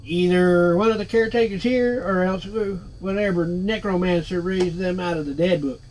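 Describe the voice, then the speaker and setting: A cheeky, sarcastic floating skull from a game, as a man reads him out, all street talk and wisecracks. The voice sits a bit high for a man, with a faint bed of room ambience behind it. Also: moderate pace, a little mellow, slight background noise, close to an everyday voice, poor audio. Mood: knowing, dismissive. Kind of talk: reading game dialogue aloud.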